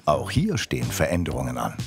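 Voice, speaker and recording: Deep male documentary narrator. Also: wildly swooping pitch, a little fast, music in the background. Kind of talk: scripted voice-over narration.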